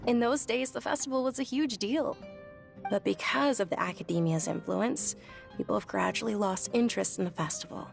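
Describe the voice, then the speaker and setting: A woman voicing a gentle, earnest young woman who speaks in a soft, reflective way in a video game. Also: mid-range voice, a little fast, vocal fry, soft background music. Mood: explaining. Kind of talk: game voice acting.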